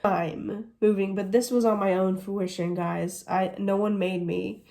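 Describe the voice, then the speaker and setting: A woman's voice: mid-range voice, steady tone, unhurried, vocal fry, mellow. A woman in her twenties from the United States, chatting casually through a Q&A vlog and gushing as she goes. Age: 20s. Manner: chatty, gushing, says "literally" often. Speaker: vlogger.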